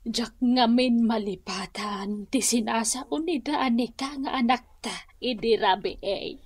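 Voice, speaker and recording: A woman's voice: on the high side, measured pace, husky. A woman with a Filipino accent playing a meek, hurt middle-aged mother in a radio drama, speaking hesitantly. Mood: sad, plaintive. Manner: plaintive, hesitant. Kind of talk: Ilocano radio drama acting.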